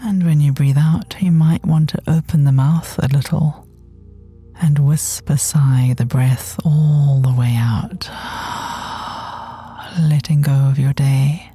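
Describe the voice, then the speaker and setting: Soft, slow, low-lit mellow woman guiding meditation. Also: fairly even intonation. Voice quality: soft, gentle, breathy, often near whispering. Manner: soothing, unhurried, long pauses between phrases. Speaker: guided meditation teacher. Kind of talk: guided body scan meditation. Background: soft meditation music.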